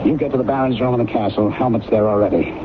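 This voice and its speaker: A man's voice: medium pitch, fairly even intonation, very fast, lightly acted. An actor playing a determined, edgy male producer who speaks urgently and emphatically in an old-time radio drama. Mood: tense, commanding. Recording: tinny, noisy old radio recording.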